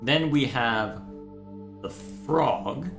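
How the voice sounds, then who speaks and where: A man from Texas talks through a puzzle game live, musing aloud at an easy pace. His voice sits in the middle range and rings off the walls of a roomy space, with soft music playing underneath. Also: medium pitch. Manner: thinking aloud, musing and unhurried. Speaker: livestream host playing a game. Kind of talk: casual live gameplay commentary.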